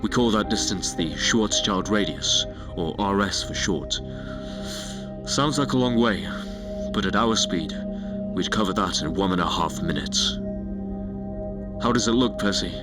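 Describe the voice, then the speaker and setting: A man's voice: deep voice, lively intonation, measured pace, pauses often. Confident male spaceship pilot over music, acted. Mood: breezy, confident. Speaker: actor in an audio drama. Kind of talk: science fiction audio drama acting. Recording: music behind the voice.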